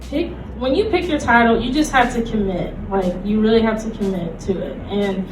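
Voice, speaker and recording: A woman's voice: fairly high pitch, normal speed. A woman giving an informal convention panel presentation in a casual, hesitant, conversational way. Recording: roomy, distant mic, loud background music.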